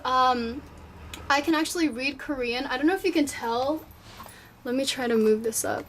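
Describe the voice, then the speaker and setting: A woman's voice: high voice, a little hiss or hum. An eighteen-year-old woman from Japan chatting casually in a vlog, answering viewer questions at home in her room, rambling and filling her talk with "like". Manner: chatty, rambling, fills with "like" often.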